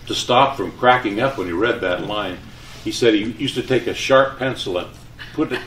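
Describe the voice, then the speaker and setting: Older man, lowish voice, in an echoey room. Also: fairly bright tone. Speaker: retired radio announcer speaking to residents. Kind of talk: live talk with anecdotes and reminiscence. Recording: echoey room, distant mic, faint noise.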